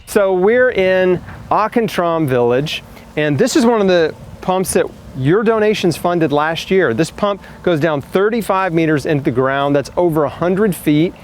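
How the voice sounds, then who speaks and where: An earnest man with a slightly high-pitched voice talks casually to the camera as a fundraiser. He is conversational and often puts questions straight to the viewer. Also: animated, a little slow, a little hiss or hum. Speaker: fundraiser and presenter. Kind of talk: casual talk to camera for a charity appeal.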